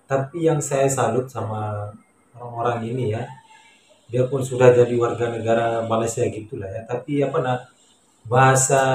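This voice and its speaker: Emphatic Indonesian man in an echoey room. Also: mid-range voice. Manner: emphatic, repetitive, colloquial. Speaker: vlogger, Indonesian migrant worker in Malaysia. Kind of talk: casual chat to viewers. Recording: echoey room with faint noise.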